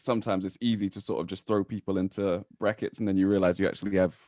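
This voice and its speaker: A young man co-hosting a basketball podcast, talking in a measured, agreeable way. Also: low voice, fairly even intonation, dark tone, no echo. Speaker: podcast co-host. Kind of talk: casual basketball chat.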